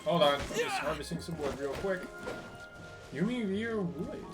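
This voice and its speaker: A woman game streamer reacts casually as she plays. Her voice is very low, with an animated rise and fall. Loud game music runs behind her. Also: a little slow. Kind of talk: casual live game commentary.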